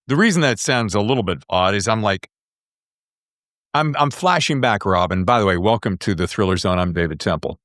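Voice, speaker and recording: Man, middle-aged podcast host, very lively intonation, dry close sound, excitable, slangy, casual. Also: lowish voice, a little fast, dry recording. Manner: excitable, geeky enthusiasm with slangy exclamations. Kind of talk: casual, chatty interview.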